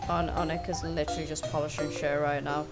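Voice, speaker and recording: A woman's voice: deep voice, level tone, quick pace. A congested woman streaming a game, muttering to herself and swearing casually as she plays. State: snuffly, congested. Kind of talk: casual live gameplay commentary. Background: game music.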